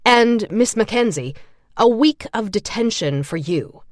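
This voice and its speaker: A woman's voice: on the high side, lively intonation, measured pace, a little mellow, dry recording. A stern male teacher who keeps order with a firm, authoritative voice, as a woman narrator puts him on. The sound is dry and close. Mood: commanding.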